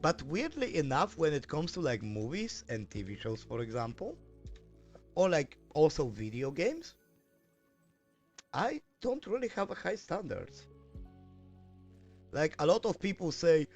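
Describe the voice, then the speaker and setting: A man's voice: fairly high pitch, big pitch swings, a little slow, pauses often, a little mellow, faint music behind. A man streaming and chatting casually as he works, hesitant with lots of fillers and self-corrections. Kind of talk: casual live-stream chat while working.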